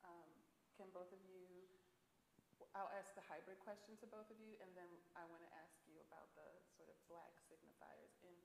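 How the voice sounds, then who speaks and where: A woman's voice: lowish voice, steady tone, moderate pace, vocal fry, a little hiss or hum. A woman curator moderating a panel discussion, asking long, meandering questions with hesitations. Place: stage of a large auditorium. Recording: echoey auditorium sound.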